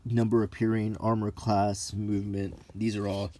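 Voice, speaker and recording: A man's voice: fairly low pitch, little pitch movement, moderate pace, a little mellow. A middle-aged man talking casually and hesitantly in an unscripted, ASMR-like way, musing as he reviews something. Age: 40s to 50s. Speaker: host of a hobby video.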